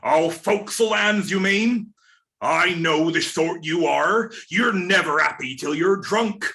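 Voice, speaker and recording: A man playing a wily old pirate sea cook in a radio play reading, with folksy, drawn-out sailor talk. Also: on the high side, creaky, pushed and bright, full character voice. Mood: scheming, impatient. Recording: remote video-call reading.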